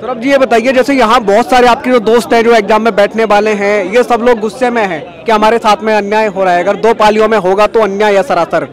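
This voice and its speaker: A man reporting from a street protest, putting quick questions to students. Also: high voice, fairly even intonation. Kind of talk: on-the-spot protest interviews. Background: crowd of protesting students.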